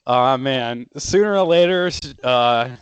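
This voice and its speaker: A man hosting a casual interview, talking excitedly with lots of filler words. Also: mid-range voice, slow. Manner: enthusiastic, lots of "like" and "dude". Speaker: interview host.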